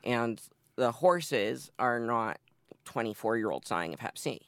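A man's voice: medium pitch, lively intonation, unhurried, gravelly, a bit bright, no room sound, clean studio sound. A man in his twenties from Massachusetts, candidly telling his life story in an interview. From northeastern Massachusetts. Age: 20s. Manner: candid, matter-of-fact storytelling. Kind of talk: oral history interview.

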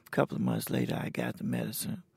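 A man in his sixties, talking plainly and haltingly about his own experience in a recorded conversation. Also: a little mellow, no echo. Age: 60s. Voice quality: gravelly, husky. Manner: plain, halting, unhurried storytelling. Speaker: interviewee. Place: indoors at a cultural center.

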